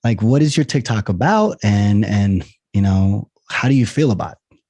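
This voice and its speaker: A man's voice: on the low side, expressive, moderate pace, dark tone, no room sound. A middle-aged man hosting a casual podcast interview, rambling and restarting his words often. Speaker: podcast host.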